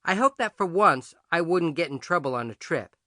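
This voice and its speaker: A man narrating an audiobook in the first person as a boy, conversational, wry and expressive. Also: on the high side, normal speed, no echo, clean studio sound. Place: dry studio.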